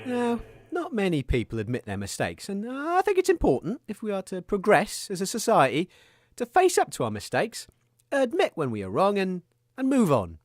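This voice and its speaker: A man's voice: sits high, huge pitch swings, moderate pace, no room sound, clean studio sound. An actor playing a naive, anxious and apologetic male radio co-host in a scripted comedy, earnest and easily flustered.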